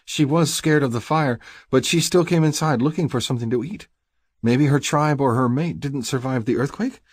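A frightened young mother, as a male narrator plays her, speaking high and breathy. She sounds shaky and pleading but kind, a character in an audiobook romance. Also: normal speed, dry recording, clean studio sound, close to an everyday voice. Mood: shaken, anxious.

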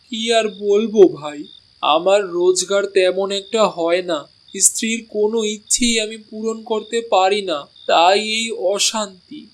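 A man's voice: high voice, measured pace, rough recording. A man voicing an honest, struggling village meat seller in a cartoon. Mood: earnest.

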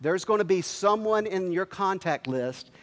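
A middle-aged man with a headset mic, giving an energetic motivational speech on a large convention stage and firing questions at the audience. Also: high voice, a little slow. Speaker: company executive speaking at a convention. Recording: long hall reverb.

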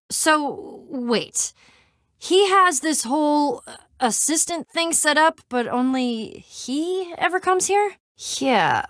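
Wry young adventurer girl from a video game, high voice, super dry studio sound, casual and snarky. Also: no room sound. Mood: puzzled. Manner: casual, sarcastic, plain-spoken.

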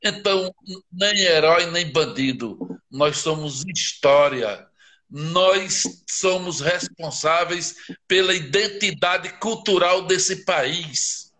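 Impassioned Northeastern Brazilian man, high voice. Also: lively intonation, slow pace, bright, forceful tone. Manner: impassioned, emphatic, rhetorical questions. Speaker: guest writer. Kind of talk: live interview over a video stream.

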